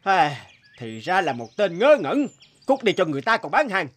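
Brusque, animated duck seller. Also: male voice, sits high, normal speed, full character voice. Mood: scornful. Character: burly, gruff, calculating roast duck seller. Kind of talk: cartoon folktale acting. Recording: music behind the voice.

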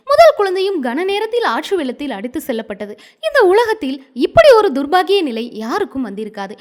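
A woman storyteller narrating a scripted moral tale in a dramatic, expressive manner. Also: sits high.

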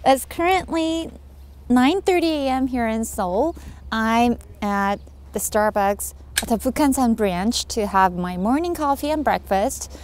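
A woman's voice: on the high side, a little slow, a little mellow. Bubbly young woman vlogging around cafes. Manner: bubbly and exclamatory, with gasps of delight. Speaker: travel video host. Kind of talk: upbeat on-camera travel vlog. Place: walking through cafes and streets, indoors and out. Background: background music.

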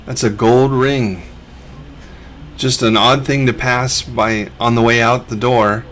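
A man's voice: medium pitch, fairly even intonation, slow, a bit bright, a little hiss or hum. A middle-aged man, a reseller who hosts a vlog, narrating over his footage in a laid-back, conversational way.

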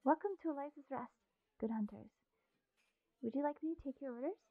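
A woman's voice: high voice, a little fast, dry recording, phone-quality sound. A woman playing a timid, eager young waitress girl in an audio drama, sweetly earnest and hesitant, with a girlish voice. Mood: timid, nervous.

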